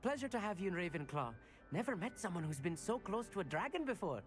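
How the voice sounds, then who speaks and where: A man's voice: high voice, very animated, fast talker, soft background music. A man playing an eager, bookish teenage boy at a wizard school, earnest and polite in a game. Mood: eager, friendly. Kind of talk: scripted game dialogue.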